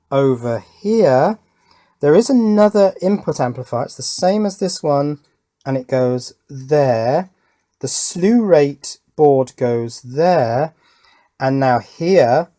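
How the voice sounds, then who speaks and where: A man's voice: on the high side, a little mellow. Chatty man thinking aloud. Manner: musing aloud, chatty, addressing the viewer. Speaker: electronics hobbyist and video presenter. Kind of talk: casual hands-on build commentary. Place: small cluttered home workshop.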